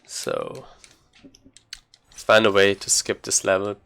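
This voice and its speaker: A man giving casual live commentary as he plays a game, haltingly thinking aloud. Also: fairly low pitch, animated, vocal fry, a bit bright, smooth. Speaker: speedrunner commentating gameplay.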